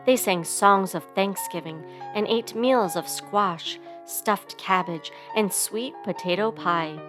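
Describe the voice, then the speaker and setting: A woman reading a children's story aloud expressively, giving sound words theatrical emphasis, with instrumental music behind her. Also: medium pitch. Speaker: storybook reader.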